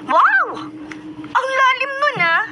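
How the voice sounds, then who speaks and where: A chatty, curious teenage schoolgirl with a very high voice. She teases and pries. Noise runs under the radio recording. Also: big pitch swings, slow, heavily acted. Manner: chatty and teasing. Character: chatty teenage girl classmate and cousin, curious and upbeat. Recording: noisy radio broadcast recording.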